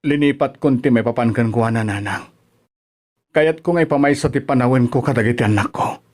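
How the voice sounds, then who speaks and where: A man with an Ilocano Filipino accent playing a gentle, sorrowful single father in an audio drama. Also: medium pitch, fairly quick, close to an everyday voice. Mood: sad, tender. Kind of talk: amateur radio-style drama acting.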